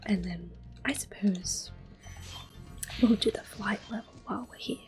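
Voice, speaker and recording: A young woman giving calm, soft-spoken ASMR commentary on a game she is playing, drifting often into close whispers with gentle fillers. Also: medium pitch, measured pace. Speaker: ASMR gaming host. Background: faint video game music.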